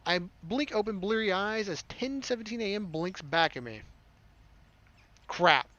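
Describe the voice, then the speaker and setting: High-voiced man reading a visual novel aloud. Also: animated, unhurried, sharp tone, muffled recording. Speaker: game streamer reading aloud. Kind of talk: let's play narration with casual commentary. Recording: game music behind voice.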